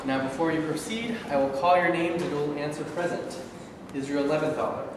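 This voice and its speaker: A man's voice: fairly high pitch, fairly even intonation, close to an everyday voice. A man reading a formal court mediator, far off in a hall. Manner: formal, measured, officious. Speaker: actor in a staged reading. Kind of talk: staged reading of a play. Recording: echoey hall from far off, background noise.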